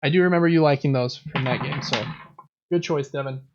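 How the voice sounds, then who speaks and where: A man's voice: medium pitch, a little fast, lo-fi sound, background noise. A man hosting a casual board game podcast, chatting and explaining things in a conversational way. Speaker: podcast host.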